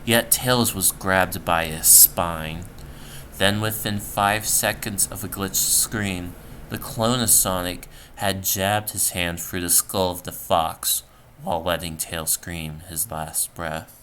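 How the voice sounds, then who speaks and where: A man reading a scripted horror story as narrator, somewhat halting with stumbles, on a home recording. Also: deep voice, fairly even intonation, pushed and bright, a little hiss or hum. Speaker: storyteller, channel creator.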